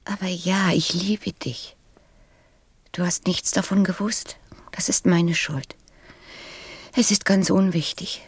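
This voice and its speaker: A breathy, proud flower. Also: female voice, fairly low pitch, fairly even intonation, slow pace, long pauses, dry recording. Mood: tender regret. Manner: soft, breathy, wistful. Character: proud, tender female flower, vain but brave. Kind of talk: radio play acting.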